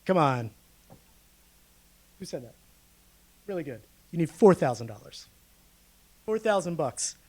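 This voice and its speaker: A man's voice: fairly high pitch, big pitch swings, a little slow, long pauses, darkish tone. A man gives a public lecture as a guest researcher, explaining data to a room in a conversational, informal way and checking in with his listeners. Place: speaking live to a room of listeners.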